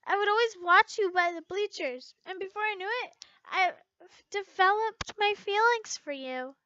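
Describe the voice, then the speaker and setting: A woman's voice: very high voice, normal speed, no room sound, lo-fi sound, pitched above the performer's own voice. A woman voicing a shy, earnest teenage girl from a story, hesitant and faltering as she speaks. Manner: hesitant, faltering, soft-hearted.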